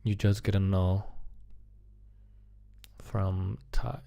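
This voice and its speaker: A man casually thinking aloud as he narrates live coding, halting and muttering to himself as he works. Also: sits low, little pitch movement, gravelly, mellow.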